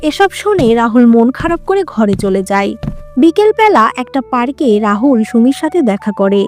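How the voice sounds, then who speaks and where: A woman narrates a scripted cartoon story. Her voice is fairly high and mellow, recorded dry over quiet music. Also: big pitch swings, normal speed.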